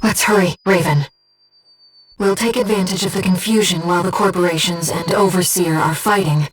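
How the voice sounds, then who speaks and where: A woman voicing a calm, devoted, disembodied female guide in a video game, speaking softly and precisely as she supports a pilot on missions. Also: lowish voice, husky, recorded from a distance, soft background music, low-quality recording. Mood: composed, focused. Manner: measured, precise, earnest. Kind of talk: video game voice acting, tactical briefings.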